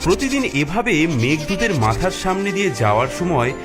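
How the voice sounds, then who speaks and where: Animated male storyteller over music. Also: a little high, moderate pace. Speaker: narrator. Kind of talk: scripted storybook narration. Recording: music behind the voice.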